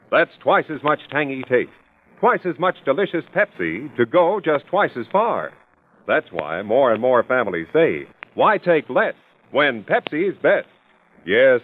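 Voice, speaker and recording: Emphatic old-time radio announcer. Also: male voice, a little high. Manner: emphatic, salesy in ads. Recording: narrow old radio broadcast with faint hiss.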